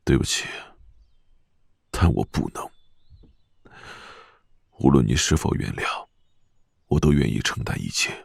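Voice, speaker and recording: A man playing a stern, grave old clan patriarch who speaks with command in an animated series. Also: rumbling low, slow pace, vocal fry, long pauses, slightly rough, no echo, studio quality, full character voice. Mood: sorrowful. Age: older. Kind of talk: animation voice acting.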